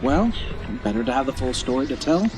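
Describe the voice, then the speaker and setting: A man's voice: medium pitch, big pitch swings, fairly quick, close to an everyday voice, poor audio. A man playing a curious, uneasy young apprentice in an audio drama, asking questions in a hesitant way. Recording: loud music behind voice.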